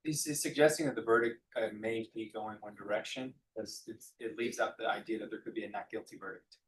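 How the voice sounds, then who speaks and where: A man's voice: lowish voice, steady tone, brisk, slight background noise, rough recording. A man acting as defense attorney, raising objections in court.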